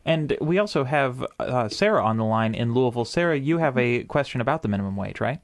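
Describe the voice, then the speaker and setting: A man's voice: mid-range voice, lively intonation, brisk, no echo. A man hosting a live radio news special with listener calls. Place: radio studio.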